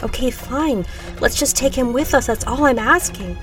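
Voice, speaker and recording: A woman's voice: mid-range voice, moderate pace, distant mic, faint music behind, lightly acted. A woman voicing a desperate, confused but defiant young heroine with an earnest, pleading manner in an audiobook.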